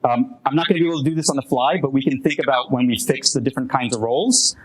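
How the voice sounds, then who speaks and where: A man fielding audience questions after a talk, thinking aloud with many pauses and restarts. His pitch sits in an ordinary middle range. He speaks in a conference room. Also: rough recording. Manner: hesitant, many restarts and fillers. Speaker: presenter answering audience questions. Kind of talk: academic Q&A at a workshop.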